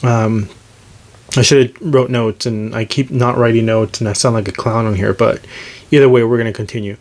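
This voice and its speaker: A man hosts a casual hands-on gadget demo in a medium-pitched voice. He feels his way along without a script, hesitant and a little self-deprecating. There is faint noise behind him. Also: steady tone, moderate pace. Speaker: host demonstrating radio gear.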